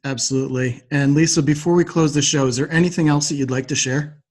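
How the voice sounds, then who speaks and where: A man's voice: fairly high pitch, steady tone, quick pace. A man hosting a podcast, speaking in an interviewer's orderly, presentational style. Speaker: podcast host. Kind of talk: podcast interview and scripted sign-off.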